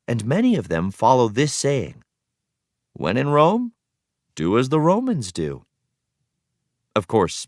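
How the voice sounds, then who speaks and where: Expressive man reading aloud, swooping intonation. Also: fairly low pitch, no echo, clean studio sound. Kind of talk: scripted educational read-aloud narration.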